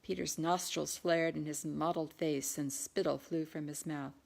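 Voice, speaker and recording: A woman with a slightly low voice narrates a historical novel. She reads scripted prose as the author of the book. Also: fairly even intonation, moderate pace, gravelly. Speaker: author reading their own novel.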